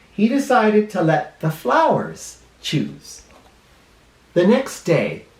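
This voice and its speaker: A male teacher reading a picture book aloud to children expressively, pausing dramatically and explaining words in simple terms. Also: high voice. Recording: roomy, echoing room sound.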